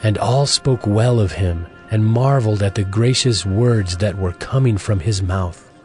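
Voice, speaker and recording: A man narrating scripture from a script in a measured, formal manner. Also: fairly low pitch, darkish tone, soft background music.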